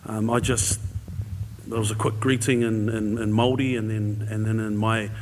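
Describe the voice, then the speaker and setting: A man's voice: fairly low pitch, level tone, normal speed, a little mellow, a little hiss or hum. A man with a New Zealand accent gives an informal church talk as a guest speaker, sharing a personal story in a casual, conversational, somewhat hesitant way. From New Zealand, grew up in Auckland. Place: large indoor church hall. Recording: echoey hall with long reverb.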